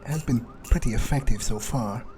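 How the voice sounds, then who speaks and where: Guy roleplaying a cocky vampire thinking out loud in a game, casual and halting, medium pitch, bit of music behind. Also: natural voice. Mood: businesslike. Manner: casual, musing, halting delivery. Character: cocky, ruthless young male vampire, musing aloud. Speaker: gamer roleplaying his character. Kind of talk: in-character video game playthrough.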